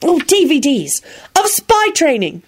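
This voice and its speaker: A woman's voice: sits high, huge pitch swings, a little slow, a little hiss or hum, voice pushed up, heavily acted. A woman voicing a cocky, knowing young boy in a narrated story.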